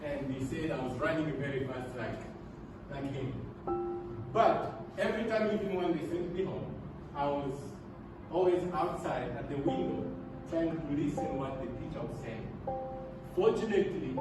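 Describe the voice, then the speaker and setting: A man, Swahili and French his first languages, tells his own story in an earnest, halting way, restarting phrases as he goes. His voice sits a bit high for a man and is heard from a distance in a very echoey room. Loud music plays behind him. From Democratic Republic of the Congo. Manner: earnest, halting, repeats words while searching. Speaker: speaker telling his life story. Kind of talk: personal storytelling talk with slides.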